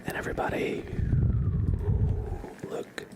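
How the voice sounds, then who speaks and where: A man hosting an ASMR livestream, speaking in soft whispers with a gentle, playful manner. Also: slow. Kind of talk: ASMR, whispered roleplay and chat with viewers.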